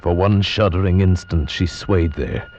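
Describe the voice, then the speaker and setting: Deep-voiced, formal gentleman of horror radio. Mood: grave horror. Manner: formal, theatrical, deliberate storytelling. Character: anxious gentleman narrator, houseguest reading an old tale aloud. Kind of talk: 1940s radio horror acting. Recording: dull vintage radio recording with music.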